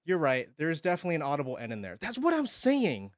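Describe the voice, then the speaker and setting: A man's voice: a little high, huge pitch swings, quick pace, dry recording, clean studio sound. A man streaming casually, thanking viewers and joking around. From Hawaii. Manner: goofy, joking, slips into singing.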